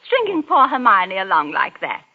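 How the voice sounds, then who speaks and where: A woman's voice: mid-range voice, wildly swooping pitch, normal speed. An actress playing an indignant, chiding society lady in old-time radio drama. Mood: mock indignant. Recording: dull lo-fi radio recording, loud music.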